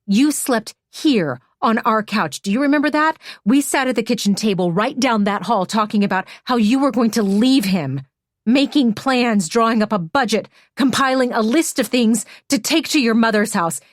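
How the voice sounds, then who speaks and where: A woman narrator voicing a furious adult woman who yells and curses in relentless accusations. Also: low voice, measured pace, hardly any pauses, breathy edge, no room sound, studio quality. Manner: yelling, cursing, relentless accusations.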